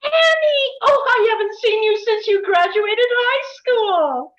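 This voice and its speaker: A woman's voice: extremely high pitch, animated, takes it slowly, fairly bright tone, lo-fi sound, exaggerated voice. A woman playing a tired, blunt housewife and mother in her thirties, emphatic and crude, in a comedy table read. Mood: gushing.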